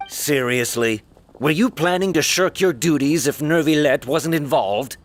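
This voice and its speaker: A man's voice: fairly high pitch, a little slow, close to an everyday voice. A man voicing a dutiful stern guard. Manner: reproachful, dutiful.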